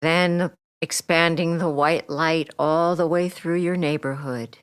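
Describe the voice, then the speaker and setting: Very slow, low-voiced woman guiding meditation. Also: steady tone, clear, resonant tone, dry recording, clean studio sound. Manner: soothing, gentle, deliberate with long pauses. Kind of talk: guided meditation, relaxation script.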